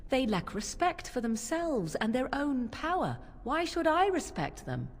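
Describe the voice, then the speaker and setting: A haughty young witch for a fantasy game, scornful and disdainful. Her high voice swoops in slow, drawling phrases, ringing in a long hall reverb. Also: sing-song, heavily acted. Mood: contemptuous. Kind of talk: video game voice acting.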